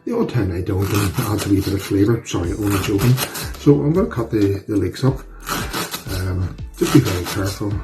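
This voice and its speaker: A middle-aged man with a Northern Irish accent hosts a casual cooking video from his home kitchen. His voice sits on the low side, and he talks in a chatty, self-deprecating, down-to-earth manner. Music plays clearly behind him. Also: fairly quick. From Northern Ireland. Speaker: cooking channel host.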